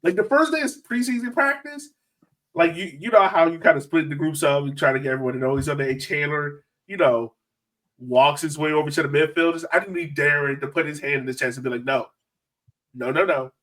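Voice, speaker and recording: A man co-hosting a soccer fan podcast, chatting casually in a playful, animated, slangy way. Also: a little high, a little fast, far from the mic, poor audio. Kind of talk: casual sports chat.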